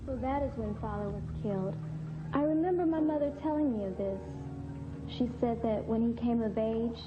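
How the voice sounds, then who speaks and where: High-voiced, earnest young Egyptian princess played by an actress. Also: female voice, lively intonation, normal speed, close to an everyday voice. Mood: curious, indignant. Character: young Egyptian royal granddaughter, earnest, devout and spirited. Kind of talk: theatrical historical dramatization. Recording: echoey, thin narrow sound, music behind.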